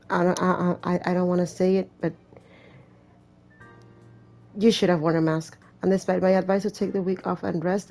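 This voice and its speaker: A woman's voice: lowish voice, mellow. A woman hosting a gaming video, reading game text aloud and breaking off for wry, blunt, casual asides. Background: faint game music.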